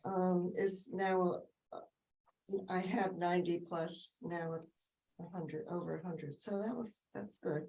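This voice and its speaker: A woman with a low voice reporting as treasurer at a board meeting, hesitant with fillers and self-corrections. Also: fairly even intonation, takes it slowly, gravelly, a little mellow, rough recording. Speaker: library friends group treasurer.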